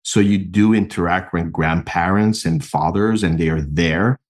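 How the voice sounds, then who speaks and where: A middle-aged man from Mexico, a podcast guest and founder, explaining his work in an animated, earnest way with a few restarts. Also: low-pitched, unhurried. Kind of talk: conversational interview answers.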